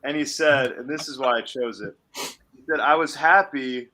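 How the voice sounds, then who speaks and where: A man's voice: mid-range voice, normal speed, pushed and bright. A man chatting casually and jokingly as a game show contestant, on slightly noisy audio. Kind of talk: casual comedic chat. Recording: clearly noisy audio with clicks.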